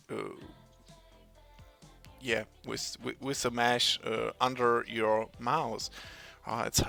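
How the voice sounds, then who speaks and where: Male, German native, slow hesitant tutorial read, gravelly and bright, faint music behind. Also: medium pitch. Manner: slow, halting, searches for English words. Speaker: tutorial maker. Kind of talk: screen-recorded software tutorial.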